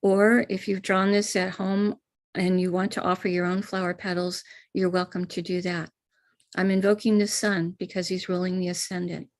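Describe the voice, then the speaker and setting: A middle-aged woman with an American accent, a spiritual teacher calmly guiding listeners step by step through a live-streamed ritual. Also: fairly low pitch, normal speed, no echo. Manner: calm, gentle step-by-step guidance.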